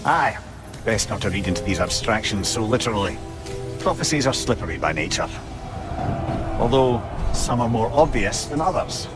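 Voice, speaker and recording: A man's voice: on the low side, very animated, normal speed, pauses often. The know-it-all old Scottish adviser of a fantasy game, wry and theatrical, his voice swinging freely up and down. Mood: grave. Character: wry, worldly, talkative old sage companion. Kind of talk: video game cutscene acting. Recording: music behind the voice.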